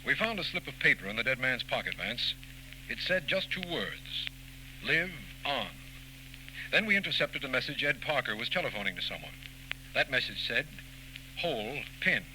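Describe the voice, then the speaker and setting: A man's voice: mid-range voice, big pitch swings, moderate pace, lightly acted. A man as an official prosecutor, mid-range voice, matter-of-fact, skeptical, 1940s radio drama, noisy humming recording. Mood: serious, puzzled. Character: earnest, skeptical, official district attorney.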